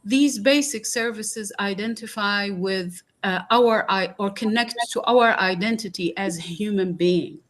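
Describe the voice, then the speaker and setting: Slow, earnest woman from Iraq. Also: mid-range voice, a bit bright. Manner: slow, earnest, with many fillers. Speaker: guest speaker. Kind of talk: reflective podcast talk.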